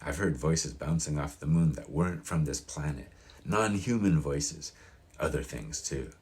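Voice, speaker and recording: A man's voice: extremely low pitch, unhurried, darkish tone. A man voicing an opinionated elderly small-town local in an audiobook, with a grating, folksy, emphatic delivery. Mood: indignant, eerie. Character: crusty old mountain-town man, proud, opinionated, wistful. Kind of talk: audiobook character reading.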